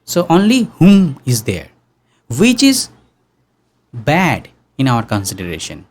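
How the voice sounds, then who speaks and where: A young man teaching sound engineering in an online workshop, talking casually and humming low tones to demonstrate, close to a studio mic in a small room. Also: wildly swooping pitch, slow, darkish tone, slightly rough. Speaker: audio engineering instructor. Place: small room with green backdrop. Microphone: studio condenser mic on boom arm.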